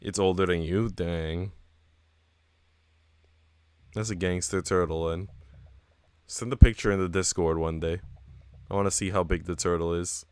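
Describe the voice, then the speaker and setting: A man's voice: low-pitched, fairly even intonation, a little slow, pauses often, clean tone, dry recording. A man streaming a game, chatting casually in a rambling, teasing way with informal slang. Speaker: streamer reading a visual novel aloud. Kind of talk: casual livestream chat. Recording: music behind the voice. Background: music.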